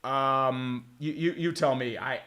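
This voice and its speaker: A man's voice: medium pitch, slow pace, sharp tone. A man giving casual, profane live fan commentary on a football game, calling plays and rambling with exasperated restarts. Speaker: fan play-by-play streamer.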